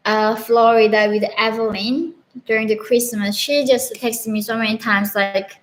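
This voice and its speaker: Slow-spoken Korean-accented young woman. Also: mid-range voice, rough recording. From North Korea. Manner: earnest, frequent "like" fillers, laughs between chat messages. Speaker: channel host answering live chat questions. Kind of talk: casual live Q&A.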